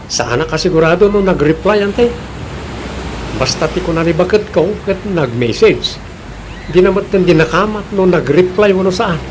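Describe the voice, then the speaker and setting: A man's voice: high voice, moderate pace, noisy background, natural voice. A man playing a suspicious, grumbling older village husband with an Ilocano accent in a Filipino radio comedy drama.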